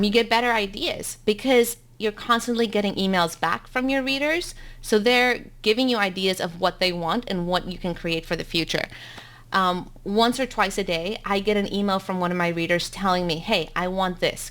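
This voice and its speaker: A woman's voice: medium pitch, a bit bright. Upbeat South African woman teaching live. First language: Afrikaans. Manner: upbeat, chatty, with frequent ums. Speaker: blogging teacher. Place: home.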